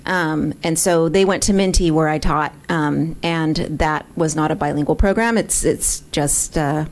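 Low-voiced woman, halting public comment. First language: Spanish. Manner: halting, many restarts and ums. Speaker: public speaker. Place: school board meeting room. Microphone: podium microphone.

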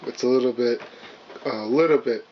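Man host, hoarse and raspy, chatty with fillers, mid-pitched, dull lo-fi camera sound with faint hiss. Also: moderate pace. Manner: chatty, apologetic, lots of fillers. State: hoarse, partly lost voice. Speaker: channel host, card unboxer. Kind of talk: casual unboxing vlog. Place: indoors at a table, camera on the cards.

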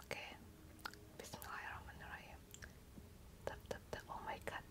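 A young woman whispering slowly and softly for an ASMR video, repeating short simple phrases close to the mic in a small room. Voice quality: soft unvoiced whisper. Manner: simple repetitive phrasing, slow and halting. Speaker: ASMR creator.

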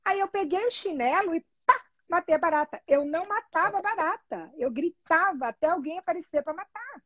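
A middle-aged Brazilian woman telling personal stories casually, in an animated, emphatic, chatty way over a video call. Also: very high-pitched, brisk, no room sound. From Salvador, Brazil. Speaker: guest, therapy trainee telling her experience. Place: small room at home, indoors. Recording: dull, lo-fi video call audio.

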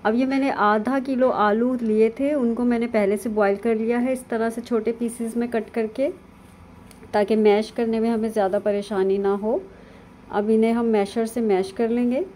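A woman, calmly narrating a cooking lesson step by step over video of her hands at work. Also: fairly high pitch, normal speed, a little hiss or hum. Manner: calm, instructive, with frequent fillers. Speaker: cooking channel host. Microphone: voice-over over hands-only video.

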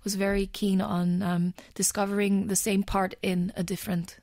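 A woman from the Netherlands in her thirties, a musical actor talking as a guest in a radio interview, thoughtful and pausing often. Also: medium pitch, level tone, darkish tone, no echo. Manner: thoughtful, with many pauses and "um"s. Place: radio studio. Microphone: studio microphone on a stand.

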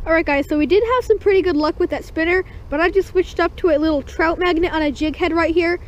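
An excited fishing vlogger narrating catches on a creek bank, calling out to the viewers as guys, recorded on a body-worn action camera. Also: extremely high pitch, quick pace. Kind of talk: excited first-person fishing commentary. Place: outdoors at a small creek bank. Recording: dull lo-fi camera audio with faint noise.

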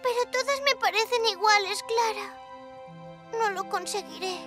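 A woman's voice: extremely high pitch, normal speed, music in the background. A woman voicing an innocent, earnest little mountain girl in a cartoon. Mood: discouraged. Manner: childlike, earnest. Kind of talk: animated series acting.

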